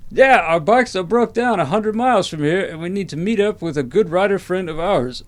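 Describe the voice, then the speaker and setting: A man voicing a cocky, sneering biker with a mocking, drawn-out delivery in a comedy sketch. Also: high-pitched, expressive, voice pushed up. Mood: assertive.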